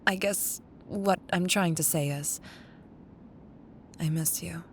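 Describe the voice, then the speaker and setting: Woman acting as caring young female best friend, lowish voice, slow and tender, teasing, wistful, ASMR roleplay, rumbly background noise.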